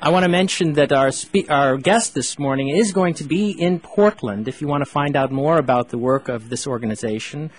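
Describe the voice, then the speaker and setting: A male radio host asking questions and reading event announcements in a clear announcer delivery. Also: fairly high pitch, normal speed, few pauses.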